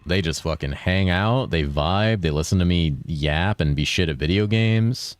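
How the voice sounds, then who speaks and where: A young man streaming a video game, chatting casually with his viewers in a relaxed, rambling way, with a deep voice he holds slightly higher than its natural pitch. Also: normal speed, no room sound. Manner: laid-back, rambling, swears a lot, reads chat aloud. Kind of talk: casual live-stream chat while gaming.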